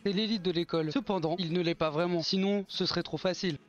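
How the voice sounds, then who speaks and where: A man's voice: sits high, steady tone, fast, highs cut off, soft background music. A man, casually reviewing an anime in a joking vlog and now and then snapping in mock exasperation at his editor. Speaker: anime YouTuber.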